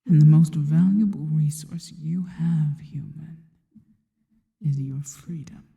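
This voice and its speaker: Woman as a dark, whispering, seductive spider monster. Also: low voice, lo-fi sound. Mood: teasing, possessive. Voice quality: breathy, mostly whispered. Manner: slow, teasing, intimate delivery. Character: seductive, possessive, devoted female spider monster. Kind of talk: ASMR roleplay. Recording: long reverberant tail on the voice.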